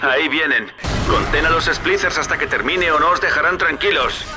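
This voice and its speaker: A man's voice: fairly high pitch, moderate pace, music in the background, close to an everyday voice. A man with a Castilian Spanish accent playing a wily, folksy hustler in a video game, talking in a chummy, colloquial, paternal way. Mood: urgent. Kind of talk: video game character acting.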